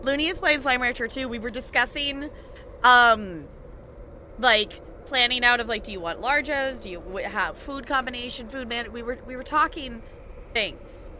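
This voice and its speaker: A woman chatting casually and animatedly on a game livestream, halting mid-thought as she goes. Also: on the high side, sharp tone, a little hiss or hum. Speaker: video game live streamer. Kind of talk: casual livestream chat over gameplay.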